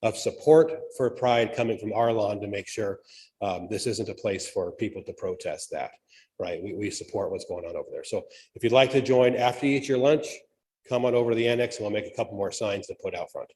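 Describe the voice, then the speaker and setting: A man's voice: on the low side, brisk, a little mellow. Casual man giving an informal announcement. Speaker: congregation member making announcements. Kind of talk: informal spoken church announcement.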